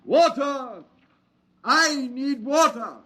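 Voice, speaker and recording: Slow, solemn old preacher. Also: male voice, extremely high pitch, expressive, bright, forceful tone, exaggerated voice. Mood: pleading. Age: older. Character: gentle, pious old wandering preacher, wild-bearded outcast. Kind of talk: old-time radio drama acting. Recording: vintage radio drama broadcast.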